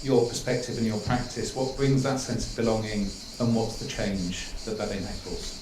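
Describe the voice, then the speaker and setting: A man moderating a panel, speaking in a measured, reflective way with pauses and ums. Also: on the low side, roomy sound, noisy background.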